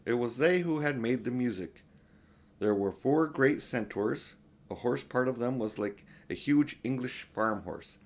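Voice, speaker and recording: An older man reads a storybook aloud in a middle-pitched voice. His delivery is homely and unpolished, an amateur storyteller at ease with the page. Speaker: audiobook reader. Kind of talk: storybook reading with informal asides.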